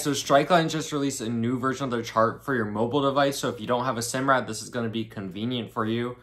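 Chatty young man on a noisy fishing boat. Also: mid-range voice. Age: young adult. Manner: upbeat and chatty, talking through tackle and gear. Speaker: fishing channel host. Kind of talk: casual fishing vlog narration. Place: open boat on the sea and a room indoors.

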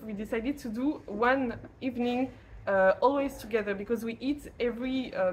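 A young French woman, a native French speaker, giving a casual testimonial to camera in English, halting and searching for words. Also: on the high side, a little hiss or hum, rough recording. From France. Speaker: lay missionary teacher.

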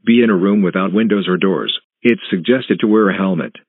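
Male emergency alert announcer, low and husky, flat synthetic read, clipped phrasing. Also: fairly quick, no room sound. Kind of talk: scripted emergency broadcast announcement. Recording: muffled, narrow phone-like broadcast sound.

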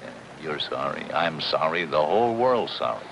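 An actor playing a cynical, world-weary, money-minded middle-aged deep-sea diver, wisecracking in a 1950s television crime drama. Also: male voice, sing-song, moderate pace, creaky, noisy background, heavily acted. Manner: sardonic, wisecracking.

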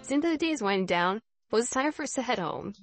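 A woman voicing a polite, thoughtful, inquisitive young engineer in a visual novel, with formal, measured phrasing. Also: a little high, animated, faint music behind. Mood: pleasant, curious. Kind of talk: visual novel character dialogue.

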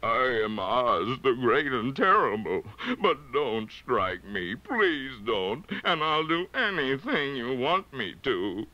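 Man as Oz, slow old humbug wizard. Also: medium pitch, sing-song, bright, forceful tone, lo-fi sound. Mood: frightened. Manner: slow, stretched words. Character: little old humbug wizard, pompous yet meek.

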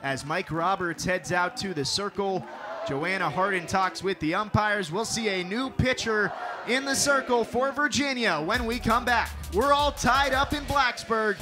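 A young man calling a televised softball game as play-by-play announcer, with a crisp broadcast delivery that lifts for big plays. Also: high-pitched, measured pace, music in the background. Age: young adult. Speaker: sports reporter and play-by-play announcer.

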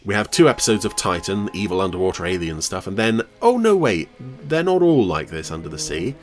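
A man's voice: low voice, very animated, brisk, faint music behind. A man giving casual, wry, jokey commentary on a TV episode as a podcast host.

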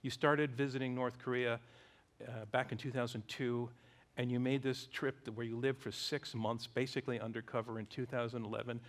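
A man's voice: medium pitch, level tone, a little fast. An older man moderating an onstage interview, putting brief, attentive questions to his guest on a theater stage. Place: theater stage before a live audience.

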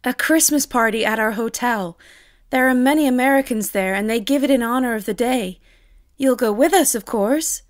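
An eager, sociable young lady played by an actress in an audio drama. She is high-pitched and insistent, recorded very dry. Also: normal speed, no echo, lightly acted. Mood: delighted.

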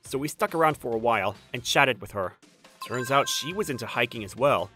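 A man's voice: medium pitch, lively intonation, brisk, sharp tone, soft background music. A young man playing a mild, sensible but easily spooked college student in a manga dub. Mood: calm narrating. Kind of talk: manga dub narration and dialogue.

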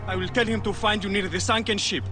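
An actor playing a whiny, excitable, indignant male prisoner in an ancient Egypt video game. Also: high voice, quick pace, lightly acted. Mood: defensive. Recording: loud music behind.

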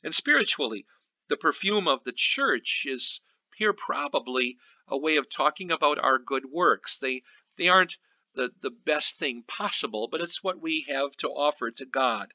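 Man, pastor, Bible study commentary, higher-pitched voice, very bright and sharp, halting and reflective, dry slightly dull recording. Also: lively intonation, dry recording. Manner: halting, reflective teaching with scripture quotations.

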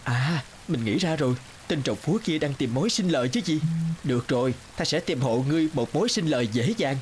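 A man playing a clever, sly young scholar, polite to his elders, in an animated folk tale. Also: a little high, brisk, a little hiss or hum, natural voice. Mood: scheming, polite.

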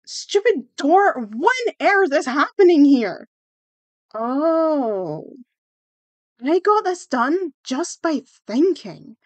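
A woman voicing a haughty, self-satisfied teenage girl in a comic fan dub, with a dismissive, deliberate delivery. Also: high-pitched, big pitch swings, no echo, heavily acted. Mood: annoyed.

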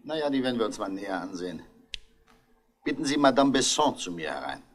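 Gruff detective chief: low, lively intonation, terse and shrewd, vintage radio crime play. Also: male voice, brisk. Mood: gruff, dry. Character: gruff, shrewd male police commissioner, dryly patient. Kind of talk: German 1960s radio drama acting.

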